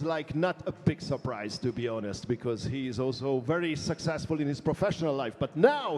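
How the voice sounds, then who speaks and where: Male event host, upbeat and chatty, higher-pitched voice, echoey venue. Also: a little mellow. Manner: chatty, playful, enthusiastic. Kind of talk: live event commentary and interviews. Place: large competition hall. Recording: long echo of a big hall.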